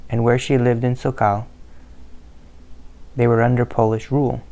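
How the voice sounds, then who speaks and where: A man reads a family history aloud in a steady, measured way. His voice stays flat and even and has a gravelly edge. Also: mid-range voice. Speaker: narrator. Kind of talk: scripted narration of a family history.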